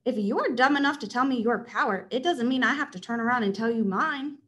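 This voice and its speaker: A woman's voice: on the high side, expressive, brisk, a bit bright. A woman voicing a guarded, defiant young girl in a children's story, curt and dismissive.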